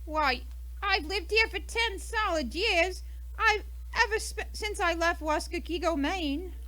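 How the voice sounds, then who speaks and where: A woman's voice: very high-pitched, unhurried, pushed and bright, heavily acted, rough recording. A woman playing a brash, defensive, indignant American murder suspect in an old-time radio mystery, with a snappy, huffy delivery. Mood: defensive, evasive.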